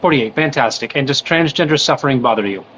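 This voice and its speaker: A man hosting a casual livestream and screening callers with quick set questions. He sounds nauseous after recent surgery. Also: medium pitch, expressive, fairly bright tone, muffled recording, slight background noise. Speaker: livestream host. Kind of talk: casual live-stream chat with callers.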